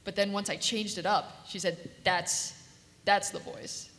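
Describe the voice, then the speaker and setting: A woman chatting casually and informally as a panelist, animated and jokey. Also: medium pitch, a little slow. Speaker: convention panelist, voice actress. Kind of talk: casual chat. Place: large convention room with audience. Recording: echoey hall, noisy pickup.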